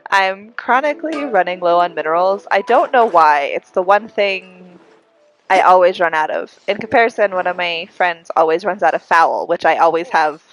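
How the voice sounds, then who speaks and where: A woman's voice: on the low side, moderate pace, poor audio. A congested-sounding woman giving giggly, chatty, self-deprecating commentary while livestreaming a game. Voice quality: congested, audible breathing. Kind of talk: casual livestream gaming commentary. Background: video game music.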